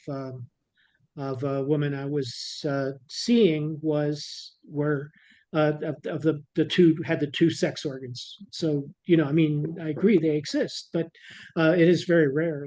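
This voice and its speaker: A man with a somewhat high voice, joining by video call from the audience. He speaks haltingly, stumbling through many fillers and restarts as he asks his question. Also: darkish tone. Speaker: audience member.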